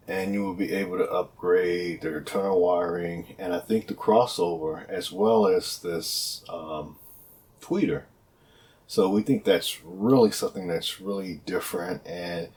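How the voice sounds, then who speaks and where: A man with a low-pitched voice presents a product in a casual, off-the-cuff style. He talks conversationally, often restating his points as he explains. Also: darkish tone. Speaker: product presenter for a loudspeaker maker.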